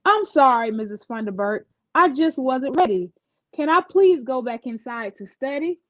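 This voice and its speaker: A woman's voice: high-pitched, expressive, moderate pace, no room sound, lo-fi sound. A woman voicing a sweet, anxious schoolgirl in a storybook, with a nervous, earnest way of talking. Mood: tearful, apologetic. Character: anxious, sweet schoolgirl who is easily upset.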